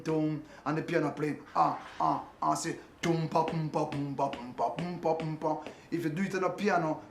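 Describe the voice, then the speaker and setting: A man, a singer, explaining in an interview how the music's rhythm changed, vocalizing bass lines and piano beats. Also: roomy sound, slight background noise.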